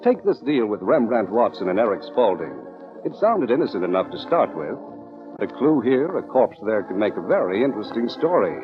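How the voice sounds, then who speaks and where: Lively vintage male radio announcer over organ. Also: on the low side, moderate pace. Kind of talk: 1940s radio scripted narration. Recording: dull old radio recording. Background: organ music.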